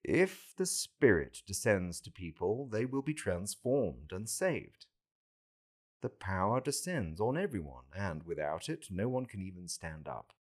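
A man voicing a calm, authoritative saviour who teaches a follower with solemn, deliberate pronouncements in a scripture reading. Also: lowish voice.

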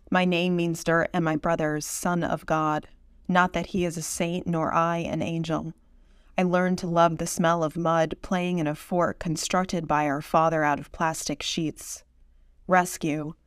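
A woman's voice: deep voice, no room sound, clean studio sound. A woman poet reading her own literary poems aloud.

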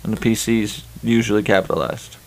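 A man's voice: on the low side, quick pace, rough recording. A young man co-hosting a casual podcast, joking around and laughing often. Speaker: podcast co-host. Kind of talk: casual chat.

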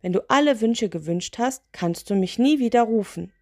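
A woman's voice: a little high, expressive, normal speed, a little mellow, no room sound, studio quality, pitched above the performer's own voice. Woman voicing a huffy, grumpy giant male lantern genie. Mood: annoyed. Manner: huffy, indignant, sarcastic.

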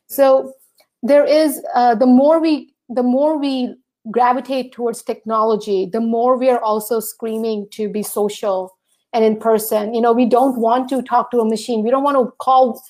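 A woman in her early thirties hosts a casual live podcast chat with a guest. Her voice sits high and bright in pitch, and she talks quickly and energetically, piling up examples as she goes. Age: 30s. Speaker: podcast host. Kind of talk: casual live-stream interview.

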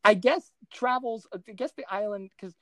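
A woman co-hosting a podcast, recapping movies in a casual, rambling, excitable way and often interrupting herself. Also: mid-range voice, normal speed, dry recording. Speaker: podcast co-host.

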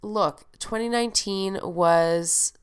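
A woman's voice: medium pitch, slow pace, smooth. A young woman hosting a podcast in casual, chatty talk, sounding nasal and stuffed up from a head cold and swearing freely. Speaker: podcast host.

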